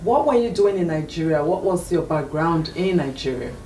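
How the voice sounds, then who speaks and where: A woman hosting an interview show, welcoming her guest formally and posing long, drawn-out questions. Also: lowish voice, expressive, warm tone, recorded from a distance. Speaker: talk show host. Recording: echoey room with faint music, away from the mic.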